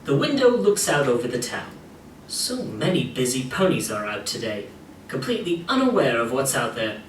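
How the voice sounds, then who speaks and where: A man's voice: medium pitch, very animated, moderate pace. A man reading scripted narration for a story game, addressing the listener as the player. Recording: echoey room playback, distant, faint noise.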